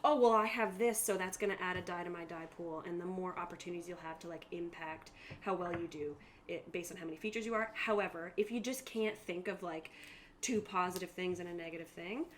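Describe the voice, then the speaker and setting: A woman's voice: lowish voice, a little fast, slight background noise. A woman game master explaining tabletop rules to players in an informal way and reading out lists of examples.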